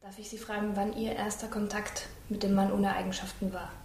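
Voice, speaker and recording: A woman asks brief interview questions in a mellow, mid-pitched voice. The recording is echoey, with background ambience behind her. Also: little pitch movement, fairly quick. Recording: echoey room with clear ambient noise.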